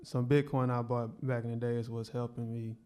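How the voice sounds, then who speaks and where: A man's voice: medium pitch, little pitch movement, brisk, darkish tone, clear, resonant tone. A man on a panel giving laid-back, plain-spoken answers.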